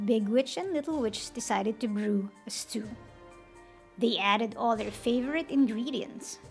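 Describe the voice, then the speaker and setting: A woman reading a children's picture book aloud expressively, in a deliberate, animated storytelling manner. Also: fairly high pitch.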